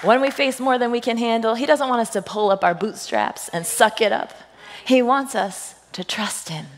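A woman pastor with a husky, slightly hoarse voice speaks to a church congregation. She tells stories and jokes playfully, slipping into quoted voices. Her words trail off in the long reverb of a large sanctuary. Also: a little high, moderate pace, a faint crowd, slight background noise. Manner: playful storytelling, self-deprecating jokes, lively quoted voices. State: husky voice today. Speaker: senior pastor. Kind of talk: humorous sermon to a congregation.

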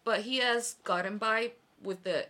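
A woman's voice: a little high, unhurried, sharp tone. A woman guest chatting casually on a podcast, giggly and talkative, laughing often between phrases. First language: not Korean. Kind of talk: casual chat.

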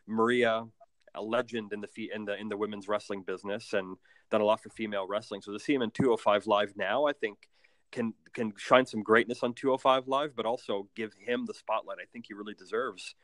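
A man co-hosting a casual wrestling podcast, a little raspy and phlegmy from a cold, talking as an eager fan. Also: lowish voice, fairly even intonation, fairly quick, a bit bright, no echo. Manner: enthusiastic fan talk, fillers. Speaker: podcast co-host. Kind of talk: casual chat.

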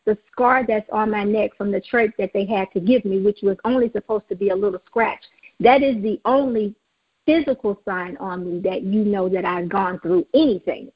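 Southern woman telling her story, a bit husky. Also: mid-range voice, fairly even intonation, normal speed, highs cut off. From Mississippi. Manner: earnest, faith-filled, with frequent fillers. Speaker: guest, nonprofit founder. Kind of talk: interview, personal testimony.

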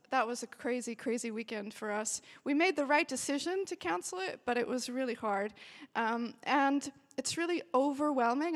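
A woman in her forties, a worship director, giving a chatty and emotional welcome to a church retreat in a large hall. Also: a little high. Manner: warm, chatty and emotional, with bursts of cheering. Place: large hall with a live audience. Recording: long hall reverb.

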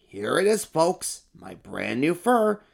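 Brief: man playing a vain monster emperor, slow and showy, gravelly edge, storybook. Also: fairly high pitch, sing-song, voice pushed up, heavily acted. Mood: boastful. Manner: boastful, showy, then sheepish. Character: vain, attention-hungry male monster emperor.

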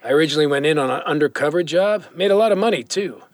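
A man's voice: on the high side, expressive, fast talker, dry recording, close to an everyday voice. A man playing a weary, guilt-ridden former cop and compulsive gambler in an audio drama, brooding and confessional in manner. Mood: bitter regret.

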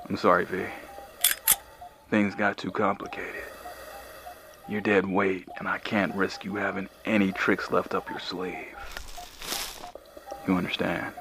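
A man playing a ruthless, pragmatic facility operative with a gravelly, terse, weary delivery in an audio fiction podcast. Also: low-pitched, little pitch movement, a little slow, pauses often, background noise. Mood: grim, resigned.

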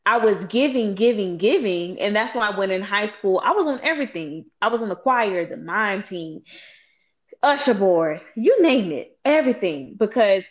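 A woman in her late twenties hosts a relaxed chat with her sister. She is animated and playful and talks straight to her viewers. The recording sounds a little dull and lo-fi. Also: medium pitch, normal speed. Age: 20s. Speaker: host. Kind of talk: casual chat.

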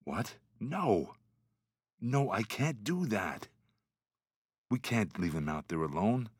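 A man's voice: fairly low pitch, sing-song, slow pace, husky, no room sound, studio quality, heavily acted. A man playing a guilt-ridden woodcutter father who pleads for his children in a fairy-tale drama. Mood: pleading. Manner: pleading, anguished.

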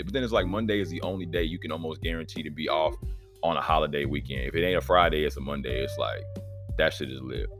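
A man in his thirties with an Oklahoma accent, hosting a casual solo podcast in a rambling, profane, laid-back way. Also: fairly bright tone. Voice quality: deep voice. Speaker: podcast host.

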